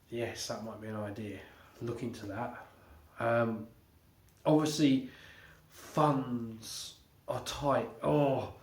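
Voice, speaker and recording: Slow-talking congested man. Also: mid-range voice. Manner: rambling, halting, with many fillers and restarts. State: congested, recovering from illness. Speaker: vlogger. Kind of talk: casual home update vlog. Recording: echoey room.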